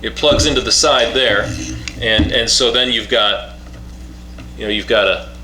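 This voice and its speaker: A man giving a casual, unscripted product demonstration in a halting way, repeating and restarting his phrases. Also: medium pitch, sharp tone. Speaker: product reviewer demonstrating a two-way radio. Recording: roomy echo, faint background hum.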